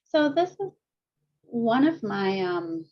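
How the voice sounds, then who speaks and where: A woman's voice: on the high side, big pitch swings, takes it slowly, dull audio. A woman teaching mindfulness to a group on a video call, chatty and self-deprecating, laughing often and speeding up when she's nervous. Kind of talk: guiding a group session over video.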